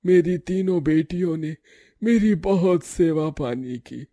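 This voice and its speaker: Man as a dying, halting older villager. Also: on the high side, mellow, no room sound, clean studio sound. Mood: weak, sorrowful. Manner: halting, laboured.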